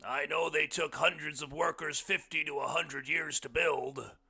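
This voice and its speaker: An easygoing blind houseguest, played by a male reader, speaks high and loud in a very bright, forceful voice. He is folksy, curious and emphatic, a genial storybook character. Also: steady tone, measured pace, gravelly, husky, exaggerated voice. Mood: musing, jovial. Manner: emphatic, folksy, calls listener bub. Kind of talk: audiobook character voice.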